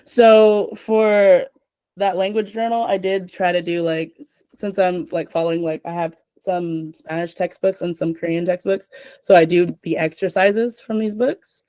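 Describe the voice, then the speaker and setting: A woman's voice: lowish voice, fairly even intonation, a little slow, dark tone, dry recording. A young adult woman hosting a casual chat, rambling with lots of filler words.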